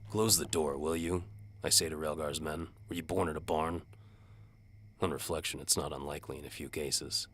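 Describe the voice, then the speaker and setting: A man playing a sardonic, world-weary medieval bow for hire, narrating in a wry deadpan as scripted audio drama. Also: a bass voice, normal speed, slight background noise. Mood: dry, defiant. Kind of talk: first-person scripted narration, hard-boiled audio drama.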